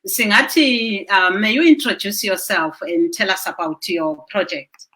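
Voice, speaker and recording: A woman's voice: medium pitch, a little slow, bright, forceful tone, poor audio. A woman hosting a live talk show, welcoming her guest with short prompts.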